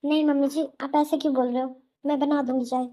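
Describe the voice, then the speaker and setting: A woman's voice: sits high, little pitch movement, fast talker, mellow, lightly acted. A woman voicing a weary, hurt young daughter-in-law who pleads plaintively in a melodramatic cartoon. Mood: meek, defensive. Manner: plaintive, pleading, self-pitying. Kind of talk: melodramatic Hindi cartoon voice acting.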